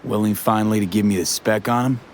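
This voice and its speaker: A low-voiced, streetwise game mercenary played by a man over clearly audible street noise. He talks in clipped, slangy fragments, blunt and skeptical. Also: fairly even intonation, fast, lightly acted. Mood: dry, guarded. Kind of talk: video game voice acting. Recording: noisy street ambience behind.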